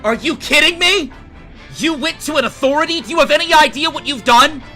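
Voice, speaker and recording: A man's voice: very high voice, brisk, pushed and bright, soft background music, pitched above the performer's own voice. A man voicing an enraged, abusive father who screams furiously in a story. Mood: raging.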